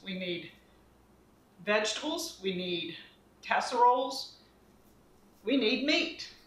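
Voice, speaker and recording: A middle-aged woman, chatting homespun-style as she demonstrates a recipe in her home kitchen. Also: medium pitch. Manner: chatty and homespun, talking while mixing dough by hand. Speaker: cooking channel host. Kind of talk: casual cooking demonstration. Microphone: camera across the counter. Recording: roomy kitchen, mic at a distance.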